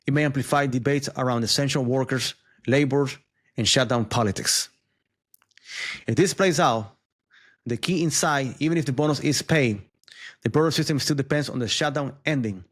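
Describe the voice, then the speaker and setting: Man explaining the news in conversational asides. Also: medium pitch. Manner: conversational, explanatory, addresses viewers with questions and asides. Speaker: host of a news commentary channel. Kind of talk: news explainer commentary, semi-scripted.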